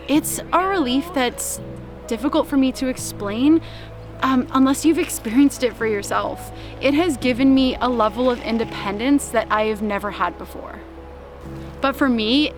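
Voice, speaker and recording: A young woman narrates her travel vlog calmly and from the heart, with small laughs here and there. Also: on the high side. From Canada. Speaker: vlogger. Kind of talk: reflective voice-over narration. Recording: voice-over with music underneath.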